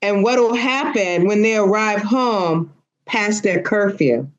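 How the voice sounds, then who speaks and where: A woman's voice: mid-range voice, takes it slowly, lo-fi sound. A woman hosting a casual panel discussion in an encouraging manner.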